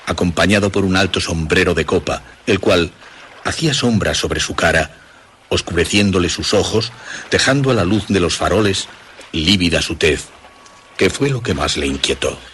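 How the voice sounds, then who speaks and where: A man narrating a scripted horror story for radio in a measured, dramatic storytelling manner. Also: low voice, fairly bright tone, slight background noise.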